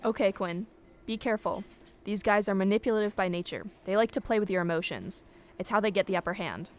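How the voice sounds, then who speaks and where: A woman's voice: medium pitch, lively intonation, quick pace, natural voice. A woman playing a level-headed police captain in an audio drama, matter-of-fact and cautioning. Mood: serious, cautioning.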